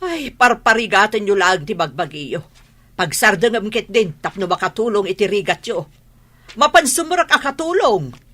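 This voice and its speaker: A woman acting a vain, sharp-tongued Filipino-accented village woman in a radio comedy. She scolds haughtily, her medium-pitched voice swooping up and down. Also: very animated, moderate pace. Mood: belittling. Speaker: radio drama actor.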